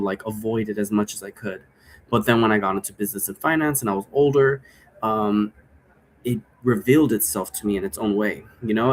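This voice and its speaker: Low-voiced man rambling casually over noise. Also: poor audio. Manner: informal, digressive, thinking aloud. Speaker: game creator explaining role-play rules. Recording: noticeable background noise.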